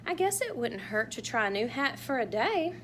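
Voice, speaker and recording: Woman acting storybook Santa, kindly old man, fretful, expressive, raised pitch, noisy recording. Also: moderate pace. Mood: pondering. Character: Santa, kindly old man fretting over lost hat.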